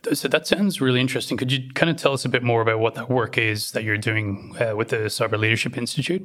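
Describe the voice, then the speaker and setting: A man's voice: medium pitch, fairly even intonation, fast, a bit bright. A man hosting a podcast, asking friendly, hesitant questions full of fillers. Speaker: podcast host.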